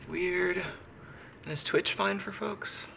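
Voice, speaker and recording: A man's voice: high-pitched, steady tone, takes it slowly, gravelly, sharp tone, slight background noise. Congested man rambling on a live stream. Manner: rambling, filler-heavy, thinking aloud. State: sick and congested. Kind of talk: casual live-stream chat. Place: home workshop.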